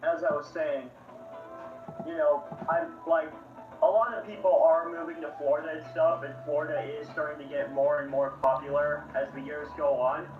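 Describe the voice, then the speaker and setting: A man's voice: on the high side, level tone, moderate pace, soft background music. A man chatting on a call, hesitant and full of fillers, giving blunt opinions. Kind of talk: casual chat. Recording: tinny echoey call audio from afar.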